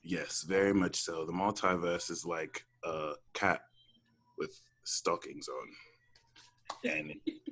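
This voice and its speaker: A man playing God as a joke, putting on a British accent and talking in a deadpan, playful way on a live stream. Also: deep voice, slow pace, pauses often, full character voice. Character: God, a joking man-made deity with a put-on British accent. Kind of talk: comic improvised bit on a live stream.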